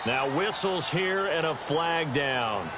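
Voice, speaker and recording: An American man calling football play-by-play in a crisp, descriptive broadcast style over game noise. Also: on the high side, animated, slow. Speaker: play-by-play sports commentator. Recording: noisy, game sounds behind the voice.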